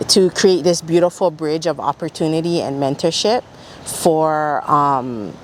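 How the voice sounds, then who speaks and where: A woman's voice: sits low, unhurried, a little hiss or hum. A woman speaking as a program organizer, explaining things in an interview.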